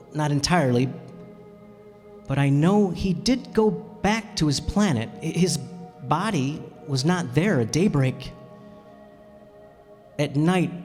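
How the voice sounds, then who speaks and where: A man playing a tender, sorrowful pilot in a radio play, speaking earnestly with halting pauses. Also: a little high, a little mellow. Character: sorrowful, tender adult pilot recalling his lost friend. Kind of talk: radio play acting and narration. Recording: reverberant hall with music.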